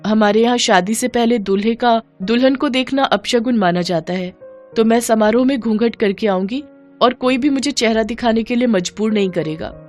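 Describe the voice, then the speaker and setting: A woman's voice: on the high side, moderate pace, pitched above the performer's own voice, natural voice. A woman voicing a vain young village woman in a storybook tale, fretful and complaining, with music behind. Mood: firm.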